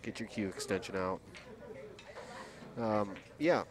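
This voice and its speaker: Low-voiced pool commentator man. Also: animated, slow. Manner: chatty, reacts to shots, encouraging. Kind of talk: play-by-play commentary. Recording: noisy crowd in the background.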